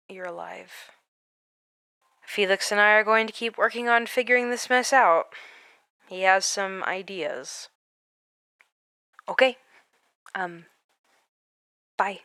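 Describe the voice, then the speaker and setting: Woman acting a clear, slow, worried therapist. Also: mid-range voice, no room sound, studio quality. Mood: fragile, hopeful, then hesitant. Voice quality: clear, bright. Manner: hesitant, slow, earnest. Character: worried, caring female therapist leaving a phone message. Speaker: therapist character. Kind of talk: audio drama voicemail acting.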